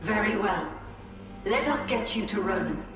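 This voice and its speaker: An actress playing a resolute Eldar seer who plans and reflects with solemn care in a game cutscene. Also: female voice, medium pitch, expressive, natural voice. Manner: solemn, deliberate planning. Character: resolute Eldar seer and leader, female adult. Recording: echoey hall with game music.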